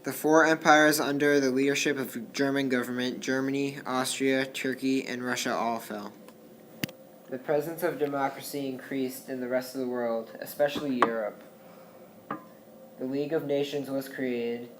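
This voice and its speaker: A young student reading the closing part of a history class project in a steady, plain manner. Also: mid-range voice, normal speed. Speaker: student presenter. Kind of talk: reading a school project narration. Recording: roomy classroom with faint background noise.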